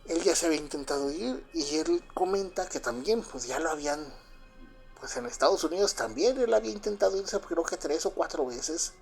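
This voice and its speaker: A Cuban-born man with a Mexican Spanish accent who was raised in Mexico, telling a first-person story in a halting, confiding way full of fillers and restarts. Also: a little high, animated, gravelly, soft background music. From Cuba, raised in Mexico. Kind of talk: first-person spoken recollection.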